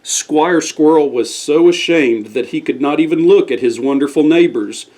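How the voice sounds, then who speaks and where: A man's voice: a little high, normal speed, a little mellow, poor audio. A man reading a scripted bedtime story aloud as the storyteller.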